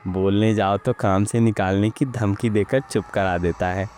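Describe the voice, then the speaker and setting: A man voicing a poor, hungry farm labourer in an animated village story, troubled and pleading in manner. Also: fairly low pitch, normal speed, a little hiss or hum, lightly acted. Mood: troubled, humble.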